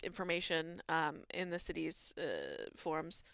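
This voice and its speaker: A woman's voice: sits low, big pitch swings, a little slow, gravelly, fairly bright tone, no room sound, studio quality. A woman co-hosting a livestream in a casual, chatty way, relaying comments and information to viewers. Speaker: livestream co-host reading chat.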